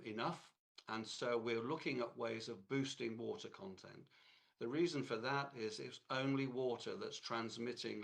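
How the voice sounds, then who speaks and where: A man with a cold, hoarse, giving a technical lecture in a halting way with many fillers and restarts. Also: mid-range voice, fairly bright tone.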